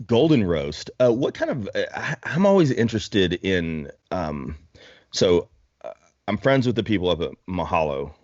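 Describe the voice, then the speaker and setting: A man's voice: low voice, very animated, normal speed, darkish tone, dry recording, dull audio. A man chatting casually on a podcast, rambling and self-deprecating with jokes. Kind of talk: casual chat.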